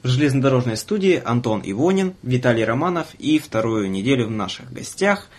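Male radio host, slightly husky, playful, teasing, casual interview banter, mid-pitched. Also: animated, brisk.

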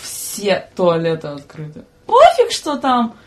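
A young woman with a mid-pitched voice tells anecdotes as a podcast guest. She talks in a casual, slangy way and expressively acts out what people said. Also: huge pitch swings, slow. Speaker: podcast guest, train conductor.

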